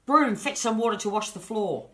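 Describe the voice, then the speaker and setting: A woman's voice: medium pitch, expressive, normal speed, pitched above the performer's own voice, lightly acted. Woman voicing an earnest young storybook apprentice boy. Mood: commanding. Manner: earnest, wide-eyed. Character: eager young boy apprentice, longing for adventure.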